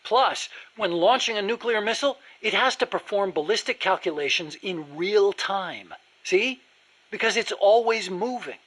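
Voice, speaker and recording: A man's voice: high voice, animated, moderate pace, a bit bright. A man playing an earnest, nerdy American weapons engineer in a video game, eager to explain things in precise detail. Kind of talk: video game dialogue acting.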